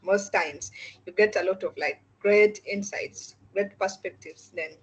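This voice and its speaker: A young woman from Uganda talks as a mentee in a casual chat. Her voice is husky and a little breathy, and she answers politely and affirmingly. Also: mid-range voice, measured pace, rough recording. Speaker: mentee, graduate student. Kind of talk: casual video call chat.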